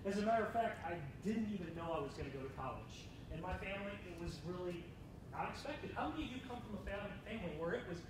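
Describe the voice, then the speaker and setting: A man's voice: lively intonation, quick pace. A man in his forties from Ohio, giving a chatty talk to student teachers and joking with the audience. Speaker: school district administrator. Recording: echoey room from far off, low rumble.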